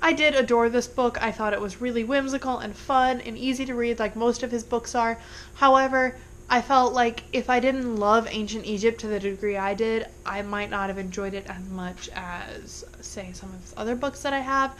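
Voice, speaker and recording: A woman's voice: a little high, steady tone, moderate pace, a little hiss or hum. A young woman with a congested voice, chatting casually through a monthly book wrap-up with rambling asides. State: congested from illness. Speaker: book vlogger.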